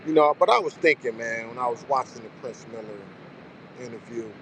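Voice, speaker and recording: A man's voice: medium pitch, fairly quick, a bit bright, slight background noise, low-quality recording. A man in his forties talking casually in a loose, reflective monologue, often saying "you know what I'm saying". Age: 40s. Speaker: vlogger.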